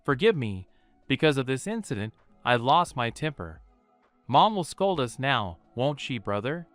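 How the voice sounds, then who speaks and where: A man's voice: mid-range voice, very animated, unhurried, fairly bright tone, no room sound, soft background music. A man voicing a cheeky, mischievous young girl in a spoken storybook, pouty and defensive. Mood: contrite.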